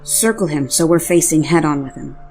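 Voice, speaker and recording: A woman's voice: sits low, brisk, dark tone. Woman acting a terse, commanding warrior over faint music. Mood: focused. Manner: terse, urgent, giving orders. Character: bold, commanding dragon-riding warrior, grimly determined, foul-mouthed. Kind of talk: audio drama acting.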